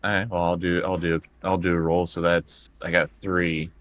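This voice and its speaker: A man with a low, bright voice, recorded close and dry, plays a tabletop role-playing game. He improvises casually, often stammering and hesitating as he hunts for the right word. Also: little pitch movement, moderate pace, no echo. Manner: hesitant, stammering over words.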